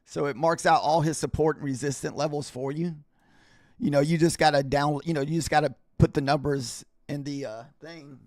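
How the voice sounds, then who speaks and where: A man's voice: on the high side, fairly even intonation, moderate pace, dry recording, studio quality. A man hosting a live trading stream, chatting casually with viewers in a rambling way full of fillers and restarts, his voice a bit scratchy from a week of talking. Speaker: livestream trading host.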